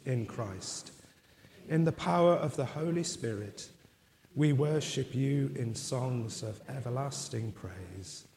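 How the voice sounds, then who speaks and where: Slow, solemn man leading prayers. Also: mid-range voice, gravelly, mellow. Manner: slow, solemn, measured recitation. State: losing his voice. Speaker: minister leading communion. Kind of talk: liturgical prayer. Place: church.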